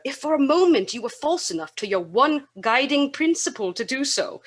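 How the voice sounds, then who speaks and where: An actress playing a sorrowful, gentle young Victorian woman in a radio drama. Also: on the high side. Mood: resigned, tender. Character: Belle, sorrowful gentle young woman releasing her betrothed.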